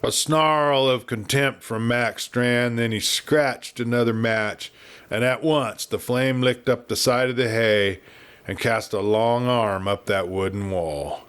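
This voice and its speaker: Male audiobook narrator, American accent, medium pitch, measured and dramatic Western storytelling. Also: fairly even intonation, a bit bright, clear, resonant tone.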